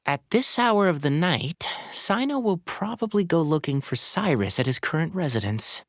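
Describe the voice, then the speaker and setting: An actor playing a calm, sensible young male scholar in a video game, polite, articulate and gently authoritative. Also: fairly high pitch, normal speed, no room sound, clean studio sound. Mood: thoughtful.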